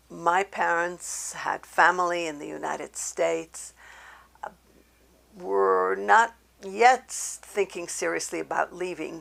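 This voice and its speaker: An elderly woman from Slovakia, a native German speaker, recounts her memories in a halting, deliberate, measured way, as in an oral history testimony. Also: fairly low pitch, gravelly, fairly bright tone. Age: 80s. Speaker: Holocaust survivor giving testimony.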